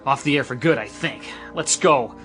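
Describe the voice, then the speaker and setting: A man's voice: mid-range voice, normal speed, fairly bright tone, natural voice. A man plays an on-the-spot announcer in a radio play. He sounds earnest and official with a sardonic edge, and music plays faintly behind him. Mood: disgusted, apologetic. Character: live broadcast announcer, earnest turning sardonic. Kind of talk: radio drama acting, on-the-spot reporting.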